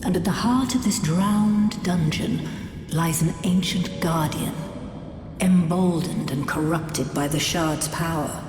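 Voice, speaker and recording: Epic fantasy game narrator lady, grave and dramatic, big reverby sound, music behind her. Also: on the low side. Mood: foreboding, solemn. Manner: measured, portentous storytelling. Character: grave, dramatic female storyteller of fantasy adventure quests. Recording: roomy, long reverb, music bed. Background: clear background music.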